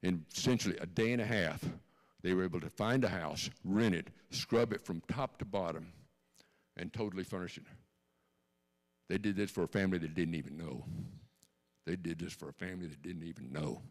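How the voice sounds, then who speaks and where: Deep-voiced older Texan man telling a story. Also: a little fast. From Texas, born in Austria. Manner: earnest storyteller, repeats key lines for emphasis. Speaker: guest speaker. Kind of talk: personal testimony told to a congregation. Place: church sanctuary.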